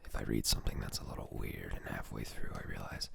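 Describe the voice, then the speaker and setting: Whispering young man with a gravelly edge. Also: extremely low pitch, fairly even intonation, fast. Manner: soft whispering, hesitant with many fillers. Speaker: ASMR channel host. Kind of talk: ASMR whispered poetry reading.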